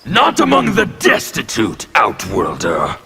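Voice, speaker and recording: Scary gravelly revolutionary villain man, slow grandiose menace, video game stuff. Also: medium pitch, huge pitch swings, loud music behind the voice, exaggerated voice. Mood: grim, threatening. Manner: theatrical, grandiose declarations. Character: intimidating scarred anarchist revolutionary man, angry and fanatical. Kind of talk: video game character dialogue.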